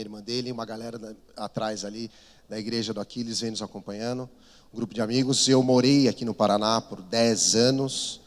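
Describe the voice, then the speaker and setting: A man in his thirties from São Paulo with a Paulista accent, a missionary preaching in a chatty, self-deprecating way. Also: mid-range voice. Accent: Paulista Brazilian Portuguese. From São Paulo, Brazil. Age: 30s. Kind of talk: conversational sermon storytelling. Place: large church hall. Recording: long reverb of a church hall.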